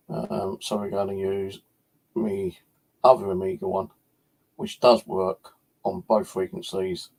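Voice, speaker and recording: A man's voice: fairly low pitch, gravelly, a little mellow, rough recording. A British man chatting casually on a livestream as its host, rambling and hesitant in an informal way.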